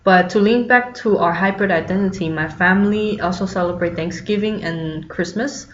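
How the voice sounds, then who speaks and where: A woman's voice: mid-range voice, normal speed, a little hiss or hum. A young woman from China speaking as a student about her life, delivering prepared lines plainly with small fillers. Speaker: student interviewee. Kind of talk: scripted personal account. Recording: slightly muffled audio.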